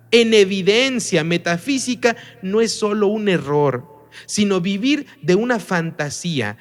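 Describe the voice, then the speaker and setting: A man from Mexico, teaching an online religious lesson in an emphatic way, full of rhetorical questions. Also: high-pitched.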